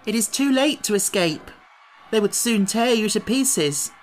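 A reader as a high-voiced, blunt young boy. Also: lively intonation, a little slow, slight background noise, natural voice. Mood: grave warning.

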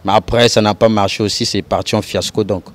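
A Congolese man being interviewed as a guest musician in an outdoor courtyard. His voice sits on the low side and his talk is measured. He explains things step by step, with plenty of "donc, euh" fillers. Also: steady tone. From Brazzaville, Congo. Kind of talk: casual interview. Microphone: handheld mic held by host.

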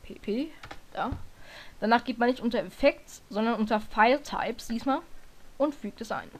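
A hoarse woman talking casually through a screen-recorded software tutorial, often stopping to correct herself and filling pauses with hesitations. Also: on the high side. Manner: rambling, self-correcting, lots of fillers.

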